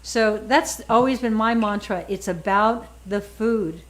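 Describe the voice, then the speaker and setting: A woman's voice: mid-range voice, measured pace, a bit bright. A woman in her sixties, an author, talking casually and emphatically, often repeating words as she makes her points. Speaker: author and guest. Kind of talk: casual chat.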